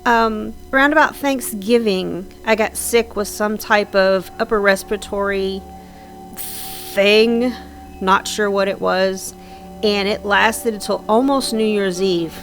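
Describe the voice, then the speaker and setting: A woman's voice: medium pitch, steady tone, slow pace, a bit bright, soft background music. A woman with a slightly scratchy voice from sinus trouble, chatting casually and rambling with frequent ums and restarts over her game build. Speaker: Sims builder narrating a speed build.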